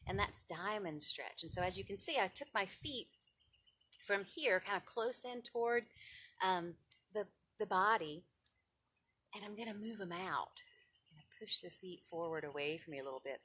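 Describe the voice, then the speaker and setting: A woman's voice: fairly low pitch, normal speed, a bit bright, slightly rough. A woman leading children's yoga and storytime, giving informal, encouraging, step-by-step guidance. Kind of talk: informal instruction and picture-book storytelling for children.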